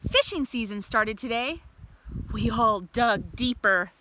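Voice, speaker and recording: A woman's voice: a little high, expressive, slow pace, bright, forceful tone, slight background noise, exaggerated voice, rough recording. A woman voicing a young, mischievous earthworm from a storybook, telling his diary with childlike earnestness. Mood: matter-of-fact. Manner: earnest, childlike, simple diary-style statements.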